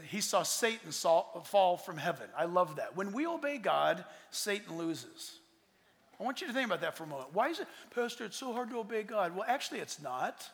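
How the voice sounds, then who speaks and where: A man in the pulpit, preaching with fervent conviction and a very high, bright, cutting voice. He swings from shouted declarations to hushed, intimate asides. The sound carries a long reverb, as in a large hall. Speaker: pastor. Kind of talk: sermon.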